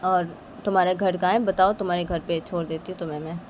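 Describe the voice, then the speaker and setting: A woman's voice: on the low side, steady tone, fast, a little mellow, slight background noise, pitched below the performer's own voice, natural voice. A woman voicing a wealthy mother who is a firm boss, questioning sharply and reassuring her employee. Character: strict wealthy mother, female boss, firm but kind.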